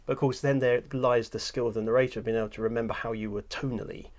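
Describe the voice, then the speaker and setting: A man's voice: medium pitch, quick pace. A British man, an audiobook producer, hosts a casual interview and acts out little exchanges as he talks. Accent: British English. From England. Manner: animated, acts out little scenes.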